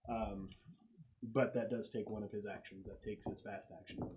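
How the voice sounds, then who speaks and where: A man working as a game master, narrating a tabletop game in a halting way as he thinks aloud. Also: lowish voice, fairly even intonation, muffled recording, noisy background.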